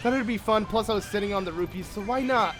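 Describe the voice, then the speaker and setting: A man who is sick, with a slightly hoarse voice, giving excitable, rambling gameplay commentary full of fillers as a let's play host. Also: high voice, quick pace, soft background music.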